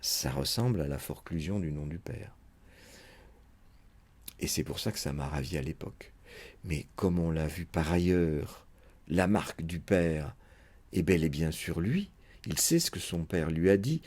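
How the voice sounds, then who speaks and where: Brief: male psychoanalyst, very low husky voice, muses aloud through a lecture with many pauses and fillers. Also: darkish tone. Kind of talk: unscripted spoken lecture.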